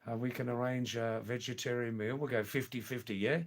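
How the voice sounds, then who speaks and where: An older man hosting a live stream, casually chatting with viewers and answering their comments in a slow, rambling way that repeats words. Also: mid-range voice, fairly even intonation.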